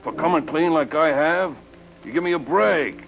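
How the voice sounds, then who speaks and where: An actor playing a cocky, slangy small-time American hoodlum in a 1950s radio crime drama. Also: male voice, a little high, normal speed, gravelly. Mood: defensive, wheedling. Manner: slangy, cocky wise guy. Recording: dull old radio recording with music.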